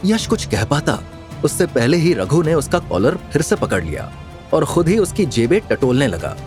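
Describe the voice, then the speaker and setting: Guy narrating a dramatic audio story, very animated intonation, music behind. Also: fairly high pitch, normal speed.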